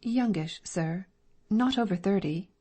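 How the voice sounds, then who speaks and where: A woman's voice: medium pitch, measured pace, mellow, slightly rough. A woman voicing a deferential Victorian landlady in an audiobook, answering politely. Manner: polite and deferential, calling him sir. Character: anxious Victorian London landlady, middle-aged. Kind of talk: audiobook dramatic reading.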